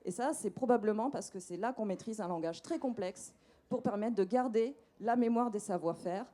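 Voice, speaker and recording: A woman's voice: medium pitch, moderate pace, mellow. A woman researcher giving a short public science talk, explaining step by step with frequent restarts.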